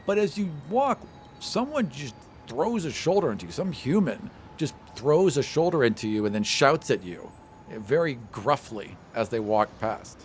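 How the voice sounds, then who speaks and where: A man's voice: mid-range voice, very animated, normal speed, faint music behind. A man improvising scenes as game master of a tabletop fantasy game, in a conversational, descriptive way. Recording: clearly noisy recording.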